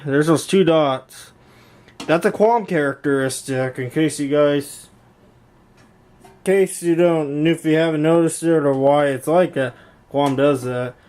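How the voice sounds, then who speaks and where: Man with a slightly high voice. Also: a little mellow. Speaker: YouTube hobbyist demonstrating intercom gear. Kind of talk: casual hands-on vlog narration. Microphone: handheld camera, speaker behind it.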